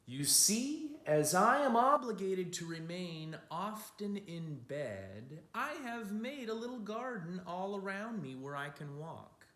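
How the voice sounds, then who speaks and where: A man's voice: sits high, very animated, slow pace, few pauses, a bit bright, pitched above the performer's own voice, heavily acted. A man voicing a contented elderly male artist from a picture book, savoring his words with emphasis. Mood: contented wonder. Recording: roomy, echoing room sound.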